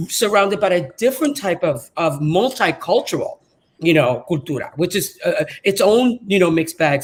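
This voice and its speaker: A woman moderates a casual panel over a video call. Her manner is effusive and encouraging, and she keeps the talk moving. Her first language was Spanish. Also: fairly low pitch.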